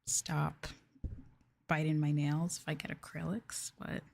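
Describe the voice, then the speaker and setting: Soft-spoken woman whispering, noisy background. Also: deep voice, flat delivery, unhurried. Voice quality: soft, breathy, often slipping into whisper. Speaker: ASMR streamer. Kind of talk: ASMR soft talking to live chat. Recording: noisy, with clear hiss and clicks.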